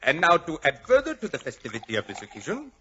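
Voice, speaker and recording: A man's voice: on the high side, wildly swooping pitch, quick pace, a bit bright, a little hiss or hum. An actor playing a fussy, effusive Frenchman with a flowery, slyly menacing politeness and a French accent, in 1940s radio style. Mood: gushing, sly. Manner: flowery, pompous, overly polite. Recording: dull old-time radio recording.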